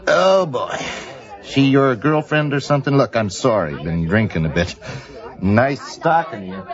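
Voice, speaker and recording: An actor playing a brash, boozy film producer in a comic audio drama, breezy, slangy and wisecracking. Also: male voice, mid-range voice, lively intonation, measured pace, natural voice. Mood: leering, wry. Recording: dull lo-fi sound, music behind.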